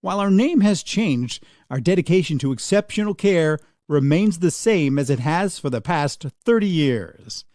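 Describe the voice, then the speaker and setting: A man's voice: on the high side, expressive, moderate pace, a little mellow, no room sound, studio quality. A man reading scripted radio ad narration as an announcer.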